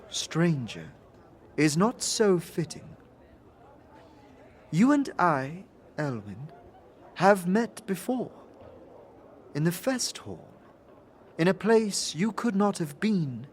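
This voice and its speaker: A man acts out an ancient otherworldly woman from a fantasy drama, wistful and formal. The voice sits fairly high and moves very slowly, halting with guarded dignity, over audible background noise. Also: full character voice. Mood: solemn, confiding. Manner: stately, halting, formal, interjecting own name. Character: long-lived mysterious female being, formal, wistful, guarded. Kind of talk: fantasy game audio drama acting.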